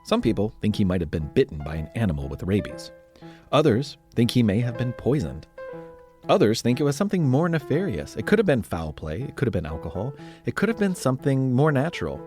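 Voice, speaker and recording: Animated low-voiced male storyteller over music. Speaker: podcast host and storyteller. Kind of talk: dramatic scripted history narration for family listeners. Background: music under the voice.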